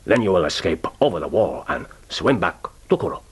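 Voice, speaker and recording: An actor playing a jovial, ruthless spymaster with an expansive, commanding, teasing manner in a radio drama. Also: male voice, low-pitched, big pitch swings, a little fast, breathy edge, heavily acted. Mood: firm, instructing. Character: jovial, ruthless Japanese male spymaster briefing an agent. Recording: orchestral film score behind the voice.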